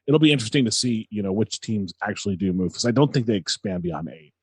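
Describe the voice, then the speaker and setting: Male co-host for sports commentary: slightly rough, husky voice, mid pitch, halting, casual, dry recording. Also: expressive, darkish tone, dry recording.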